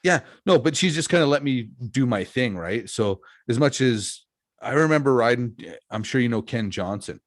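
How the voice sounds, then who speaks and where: A man's voice: medium pitch, animated, moderate pace, no echo, clean studio sound. A man in his forties hosting a podcast, chatting casually in a jokey, self-deprecating, rambling way. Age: 40s. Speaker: podcast host, wrestler. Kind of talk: casual chat.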